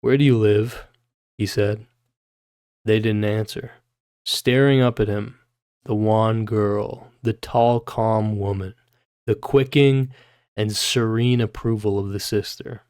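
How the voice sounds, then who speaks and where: A man's voice: lowish voice, steady tone, takes it slowly, a little mellow, clear, resonant tone, no room sound, clean studio sound. A man livestreaming, reading a novel aloud and breaking off into informal, puzzled asides with some swearing.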